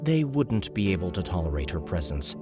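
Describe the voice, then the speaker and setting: A man with a very low voice narrates a fantasy audiobook. He reads in a scripted storytelling style. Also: sing-song, brisk, music in the background.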